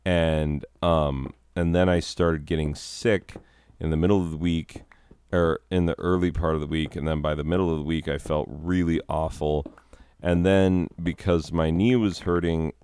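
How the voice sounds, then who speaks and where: A man, a teacher who hosts his own gaming videos, telling a long rambling story about his week in a self-deprecating way, his voice a little hoarse from a cold. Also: a bass voice, darkish tone, no echo. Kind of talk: rambling casual commentary over gameplay.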